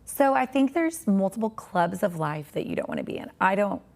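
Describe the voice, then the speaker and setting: A woman in her forties, a podcast guest with a raspy, tired voice, talking earnestly and reflectively about faith. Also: medium pitch, sing-song, normal speed. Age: 40s.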